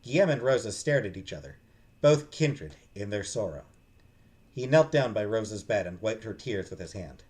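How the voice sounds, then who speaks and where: A man's voice: lowish voice, lively intonation, normal speed, a little mellow. A man narrating a visual novel aloud in a dramatic storytelling style as a game streamer. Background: music.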